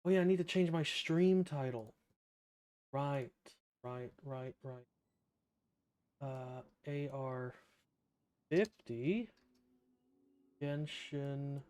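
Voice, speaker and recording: A man's voice: mid-range voice, very slow, pauses often, a little mellow, clean tone. A man streaming a video game live, chatting casually, thinking aloud and reading game text in a laid-back way.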